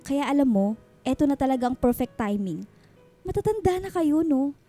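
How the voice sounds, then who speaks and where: High-pitched, teasing Filipina best friend. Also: female voice, sing-song, normal speed, dark tone. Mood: eager, persuasive. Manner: gushing, slangy, exclamatory, bantering. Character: teasing, nosy, matchmaking woman friend in her thirties. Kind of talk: Filipino radio drama acting, conversational. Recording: music bed under the dialogue.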